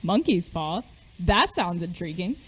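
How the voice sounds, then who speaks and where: A woman's voice: lowish voice, big pitch swings, a little slow, breathy edge, faint music behind, heavily acted. A woman playing an eager, polite, curious young lad in an amateur radio play. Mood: intrigued. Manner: eager, questioning.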